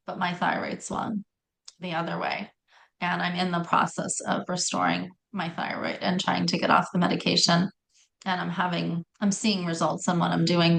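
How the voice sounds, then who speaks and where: A middle-aged woman with a raspy voice telling her personal story in conversation, often stopping to correct herself. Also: on the low side, poor audio. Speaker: guest telling health story.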